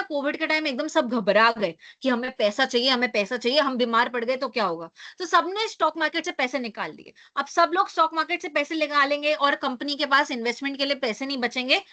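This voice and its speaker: Hoarse woman teaching an online class. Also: high voice, sharp tone. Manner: explains with rhetorical questions, frequent tag "है ना". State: hoarse, losing her voice.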